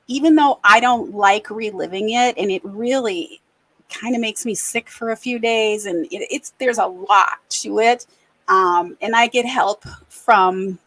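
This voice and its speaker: A middle-aged woman from the American Midwest, hosting a podcast and speaking candidly and reflectively, often pausing and restarting. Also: medium pitch, fairly bright tone, no room sound, poor audio. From Racine, Wisconsin, USA. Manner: earnest, reflective, halting. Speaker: podcast host. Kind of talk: candid personal conversation.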